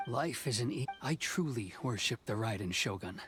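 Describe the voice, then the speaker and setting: A man voicing a stern, dutiful officer in a video game, speaking in a formal, measured, commanding manner. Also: mid-range voice, lively intonation, music in the background, natural voice. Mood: solemn, reflective. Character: stern, dutiful male officer enforcing a decree, proud and conflicted. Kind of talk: dramatic video game voice acting.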